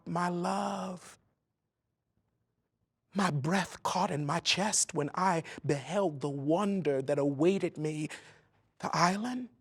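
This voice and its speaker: A male actor reads a written letter aloud at a very slow pace. His manner is tender and story-like, with dramatic phrasing. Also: a little high.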